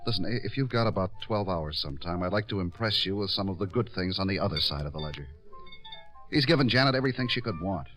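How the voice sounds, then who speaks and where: Male worried American writer-director, insistent and halting, low register, old-time radio drama. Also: expressive, fairly bright tone, faint music behind. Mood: agitated, defensive. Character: earnest, pleading male writer-director, loyally defending his star. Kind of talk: 1950s radio drama acting.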